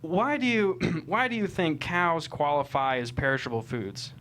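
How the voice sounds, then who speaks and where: A man's voice: on the high side, normal speed, fairly bright tone, a little hiss or hum, close to an everyday voice. A man playing a probing, argumentative defense lawyer with methodical questioning in a courtroom drama table read.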